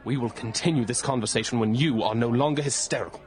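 An actor playing a formal, somewhat hesitant aristocratic gentleman in a period audio drama. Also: medium pitch, quick pace, noisy background, natural voice. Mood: exasperated. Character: English lord, reasonable, evasive, reserved aristocratic gentleman.

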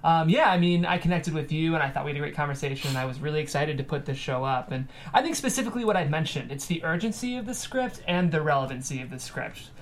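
A man's voice: fairly high pitch, expressive, fast talker, hardly any pauses. A man hosting a script table-read show in a casual, chatty style. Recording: background noise, room ambience.

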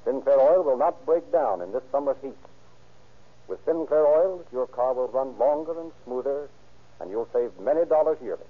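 A man's voice: medium pitch, lively intonation, moderate pace. A man with an American accent announcing in formal, declamatory 1930s radio style, on a tinny old recording. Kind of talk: 1930s radio narration and sponsor pitch.

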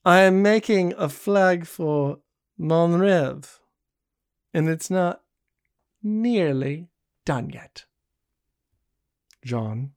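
A man's voice: a little high, animated, very slow, long pauses, no echo, clean studio sound, pitched above the performer's own voice, full character voice. Man voicing a teasing, commanding young woman. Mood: playful. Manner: teasing, imperious.

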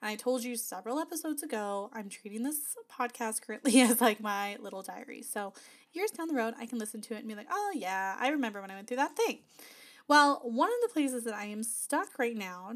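A woman's voice: fairly high pitch, a bit bright. A woman hosting a casual solo podcast, chatty and upbeat, her voice still slightly nasal and not fully back after an illness. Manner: chatty, upbeat, frequent laughs and fillers. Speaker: podcast host.

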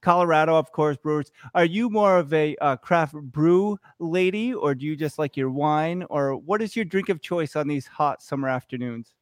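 A man in his forties hosting an interview, speaking in a friendly, halting way with many pauses. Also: a little high, no room sound, clean studio sound. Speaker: interview host. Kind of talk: casual interview conversation.

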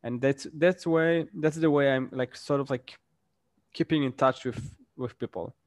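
A man speaks in a casual interview, laying out career advice through concrete examples and often ending a point with "right?". His voice sits at an ordinary pitch. The sound is dry and close, with no room around it. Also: unhurried, no echo. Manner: thoughtful, explains with examples and frequent "right?". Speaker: interview guest.

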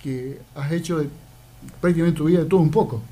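A man's voice: a little high, a little fast, dark tone, rough recording. A man interviewing a guest, mostly making brief listening murmurs and asking short questions. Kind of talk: casual interview conversation.

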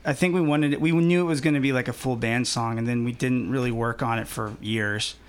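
A man in his twenties, a musician, talking casually in an interview in a relaxed, rambling way with many pauses. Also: mid-range voice, clean tone. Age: 20s. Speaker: musician guest.

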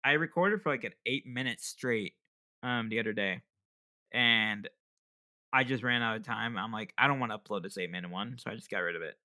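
A man's voice: fairly low pitch, fairly quick, pushed and bright. A young man hosting his own podcast, talking in a rambling, self-deprecating way and laughing often. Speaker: podcast host. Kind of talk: casual solo podcast chat.

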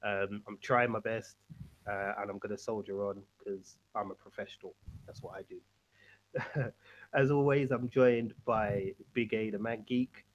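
A man's voice: lowish voice, fairly even intonation, measured pace, dull audio. A man hosting a podcast, talking casually with a head cold and plenty of fillers. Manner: easygoing, many fillers. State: has a cold. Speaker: podcast host.

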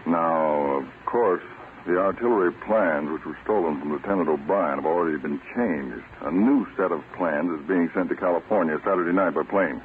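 An actor playing a calm, commanding counterspy chief in an old-time radio drama, with a terse, measured delivery. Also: male voice, low voice, phone-quality sound, natural voice. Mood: reassuring. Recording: scratchy, band-limited old radio recording.

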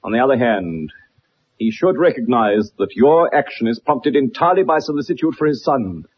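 An actor playing a keen, self-assured gentleman detective with precise, formal speech in a 1960s radio drama. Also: medium pitch, expressive, moderate pace, slight background noise, natural voice. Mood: firm, composed. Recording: muffled old radio recording.

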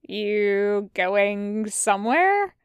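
A brash soldier guy acted by a woman reading a story, sarcastic and exasperated. The voice sits high and clear, with slangy, needling phrasing. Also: slow pace, dry recording, dull audio. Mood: sarcastic prodding. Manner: prodding, slangy, swearing. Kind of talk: dramatic fan fiction reading.

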